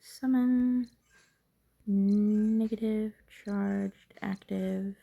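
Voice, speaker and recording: A woman's voice: medium pitch, fairly even intonation, extremely slow, gravelly, phone-quality sound. A woman giving casual gameplay commentary, thinking aloud to herself in a curious, musing way. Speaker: gamer narrating own playthrough.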